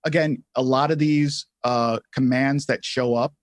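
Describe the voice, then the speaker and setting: Man co-hosting a casual software tutorial, dry sound. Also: mid-range voice, steady tone, dry recording. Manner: informal and halting, thinking aloud. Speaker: livestream co-host and software instructor. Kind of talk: casual live tutorial talk.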